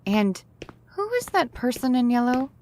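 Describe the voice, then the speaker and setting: A woman's voice: high voice, very animated, unhurried, darkish tone, slight background noise. An actress playing a flustered, harried woman in an audio drama, speaking expressively. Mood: upset, disbelieving. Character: flustered, harried talk show woman, often late.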